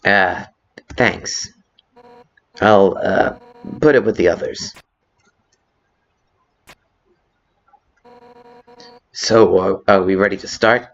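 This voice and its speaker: A man's voice: low-pitched, slow, gravelly, full character voice. A man voicing a fierce, brash female fish-warrior monster in a video game fan dub, blustering and sarcastic. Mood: guarded, awkward. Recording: muffled lo-fi audio with background music.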